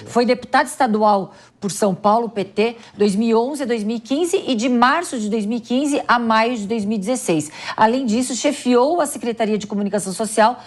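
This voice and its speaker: A woman's voice: fairly high pitch, normal speed, few pauses. A woman with a Brazilian accent commenting on politics on a live panel.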